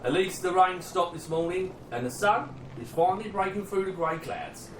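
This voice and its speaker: In a roomy, noisy recording, a man plays a young British soldier in a war radio play. He is earnest and hopeful, and his fairly high voice moves up and down with lively feeling. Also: normal speed, recorded from a distance. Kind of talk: dramatic dialogue in an audio play.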